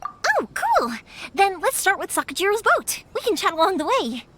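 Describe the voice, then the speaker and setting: A woman's voice: very high voice, wildly swooping pitch, normal speed, bright, forceful tone, slight background noise, heavily acted. A woman voicing a cheerful young fireworks maker in a video game, upbeat, chatty and caring. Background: game background music.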